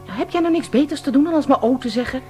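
An actress in a Dutch radio drama playing a brisk, dry-witted female police inspector with a bossy, teasing manner. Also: high-pitched, warm tone, faint music behind, natural voice. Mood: curt. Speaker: actor in a radio play.

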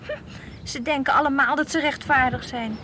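A woman's voice: high-pitched, fairly even intonation, normal speed, bright, forceful tone. An actress playing an outspoken, sceptical teenage girl who argues emphatically in a radio play. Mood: challenging, sceptical. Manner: challenging, emphatic. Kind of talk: Dutch radio play acting. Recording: faintly hissy old radio play recording.

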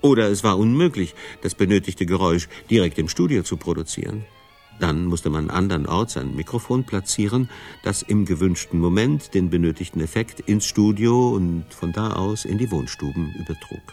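A man's voice: sits low, animated, normal speed, a little mellow, faint music behind. A man reading scripted narration as the narrator of a radio documentary, recorded in a studio.

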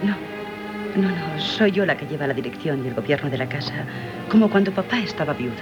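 An actress playing a dutiful young daughter longing to escape, earnest and hesitant, in Castilian Spanish radio drama. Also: female voice, sits low, expressive, a little fast, dull audio, over music. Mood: resigned, wistful.

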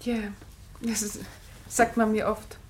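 An actress playing a hospitable, brisk, slightly flustered wife and lady of the house in a German radio play. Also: medium pitch, level tone, a little mellow, roomy sound, slight background noise, lightly acted. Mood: tense.

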